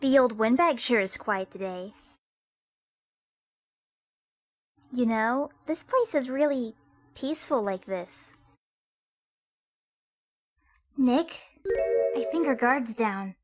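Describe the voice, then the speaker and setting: A woman's voice: fairly high pitch, expressive, measured pace. A woman voicing a perky, curious teenage girl sidekick in an amateur game fandub. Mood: playful. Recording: music faintly behind the voice.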